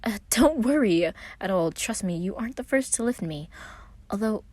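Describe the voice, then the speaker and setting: A woman's voice: medium pitch, expressive, moderate pace, mellow, no echo, pitched above the performer's own voice, close to an everyday voice. A woman voicing a small, theatrical young man with a charming, slightly hesitant and polite manner in a story reading. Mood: reassuring.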